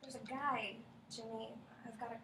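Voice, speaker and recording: A woman in a staged reading playing a reluctant, apologetic bride-to-be gently turning down her ex, halting and hesitant. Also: on the high side, little pitch movement, close to an everyday voice. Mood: reluctant, uneasy. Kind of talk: staged play reading, naturalistic dialogue. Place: indoor room at a staged reading. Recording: echoey room, distant mic, faint noise.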